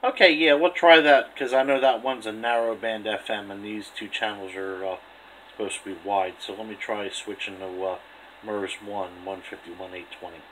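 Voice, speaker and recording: A man's voice: on the low side, steady tone, moderate pace. A man chatting casually as he demonstrates and tests two-way radio gear indoors, speaking as a radio operator. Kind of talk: casual radio test chat. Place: indoor room beside a radio set. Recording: faint background noise.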